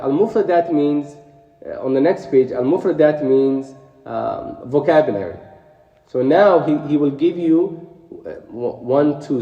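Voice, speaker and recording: A man teaching beginner Arabic, slowly reading short phrases and explaining each in English, stressing the sounds with care. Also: fairly high pitch, mellow. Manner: stresses sounds carefully for learners. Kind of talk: classroom lesson. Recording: roomy echo, distant from mic.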